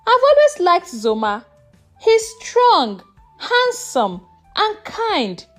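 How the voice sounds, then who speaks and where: A woman's voice: very high-pitched, wildly swooping pitch, drawn-out words, soft background music, voice pushed up, heavily acted. A woman voicing a sly, flirtatious elder sister with a playful, teasing manner in a folktale. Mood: playful, smug.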